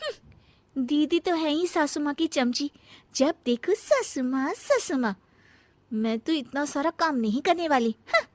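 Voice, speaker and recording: A woman's voice: high-pitched, animated, a little slow, long pauses, dry recording. A woman voicing a lazy, scheming young daughter-in-law in a cartoon story, sulky and whiny. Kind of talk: cartoon voice acting.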